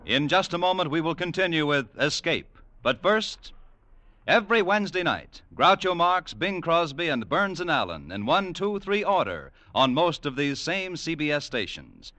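A man's voice: on the high side, very animated, normal speed, bright, forceful tone, no echo. An American man working as a network radio announcer, with the polished, projected delivery of mid-century broadcasting. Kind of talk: 1940s-50s radio announcing.

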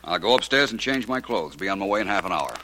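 An actor playing a calm, courteous private detective in a 1940s radio drama, composed and dry. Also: male voice, low-pitched, quick pace. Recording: very noisy 1940s radio recording.

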